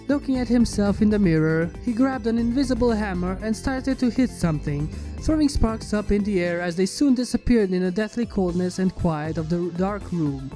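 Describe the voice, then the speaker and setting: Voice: medium pitch, mellow. A narrator of ambiguous-sounding sex reading a scripted fan fiction story in a dramatic storytelling style. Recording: music behind the voice.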